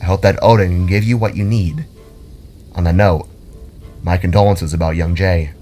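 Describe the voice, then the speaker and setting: Man acting a noble Norse war god, low voice, stately, measured, welcoming, fantasy storybook, music behind. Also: fairly even intonation, warm tone, natural voice. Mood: warm, solemn.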